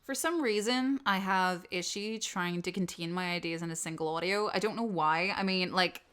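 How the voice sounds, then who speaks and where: A woman's voice: lowish voice, moderate pace, fairly bright tone, clean tone. A woman who creates audio dramas, talking casually and unscripted as she answers subscriber questions in a rambling, self-deprecating way.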